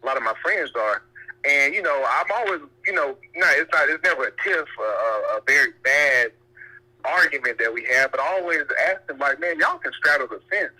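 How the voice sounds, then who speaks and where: Very bright-voiced man on a call. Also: on the high side, expressive, brisk, rough recording. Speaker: caller. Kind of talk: conversational opinion talk.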